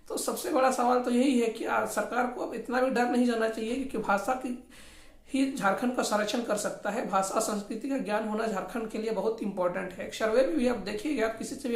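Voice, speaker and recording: Woman doing news explainer commentary, insistent n opinionated, medium pitch, echoey room. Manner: opinionated, insistent, repeats points. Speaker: news channel presenter.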